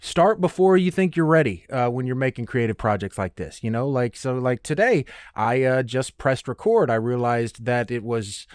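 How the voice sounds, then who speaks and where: A man talks as a solo podcast host in a close, dry recording. His delivery is loose and rambling, drifting into tangents, with a playful and self-deprecating streak. His pitch sits in an ordinary middle range for a man. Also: moderate pace, hardly any pauses, clean tone, no room sound. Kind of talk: casual solo podcast monologue.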